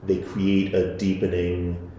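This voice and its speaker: Guy on a panel, low voice, really echoey room with a little hiss, earnest. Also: monotone, takes it slowly, mellow, highs cut off. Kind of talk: panel discussion. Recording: echoey room, faint noise.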